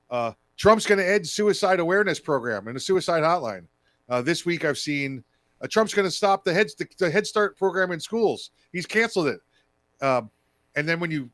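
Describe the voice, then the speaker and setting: An American man co-hosting a political talk show, chatting casually in a halting, repetitive way. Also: a little high, fairly bright tone, no echo. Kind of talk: casual political commentary chat.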